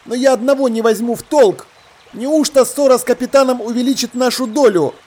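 An honest, simple seaman from an adventure audiobook, played by a man. He speaks in a raised, high voice, anxious and insistent, pressing for answers. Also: a little fast, a little mellow, husky. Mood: puzzled, insistent. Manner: insistent, questioning. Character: anxious, simple honest sailor demanding the truth. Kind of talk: acted audiobook dialogue.

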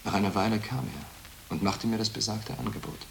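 A man's voice: on the low side, a little fast, creaky, slight background noise. A male actor playing an evasive, ambitious monk scholar in a radio drama, guarded and self-justifying as he speaks. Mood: confessing, hurried.

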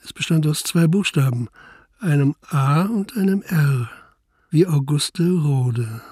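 An actor playing a terse, sober middle-aged police investigator in a radio crime drama, measured and husky. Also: male voice, on the high side, expressive, darkish tone, dry recording, clean studio sound, exaggerated voice. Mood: sober, pensive. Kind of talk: radio drama acting, first-person crime narration.

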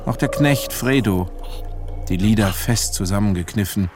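A male actor delivers grave literary narration for a wartime radio play. His voice is fairly low and measured, with clear music playing behind it. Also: close to an everyday voice. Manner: measured, solemn.

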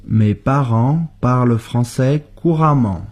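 A husky male voice, speaking very slowly and deliberately. He says each practice sentence for learners, then repeats it word for word. The pace is unhurried, like a drill on a radio lesson. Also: mid-range voice, a little mellow. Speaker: co-host of a language lesson. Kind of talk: slow model sentences for learners.

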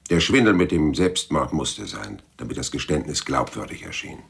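A man's voice: a bass voice, big pitch swings, normal speed, vocal fry, fairly bright tone, husky, heavily acted. An actor playing a weary, cynical private detective who tells his own case dryly and laconically in a radio play. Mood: coolly accusing. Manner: dry, laconic. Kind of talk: radio play narration and dialogue.

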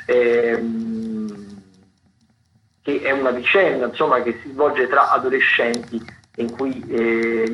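Male radio host, higher-pitched voice, hesitant unscripted listings read, slightly muffled lo-fi sound. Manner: hesitant, halting, self-correcting delivery. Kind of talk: unscripted radio commentary reading from notes. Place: radio studio. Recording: dull lo-fi radio sound, faint background noise.

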